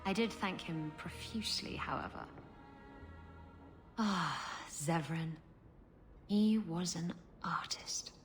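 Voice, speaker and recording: A worldly, guarded adventuress for a fantasy game. She takes her time over each line with dry, sardonic wit, over faint music. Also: lowish voice. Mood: wry, amused. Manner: sardonic, unhurried, evasive. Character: wry, guarded, worldly adventuress, widowed without regret. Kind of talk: dramatic video game acting.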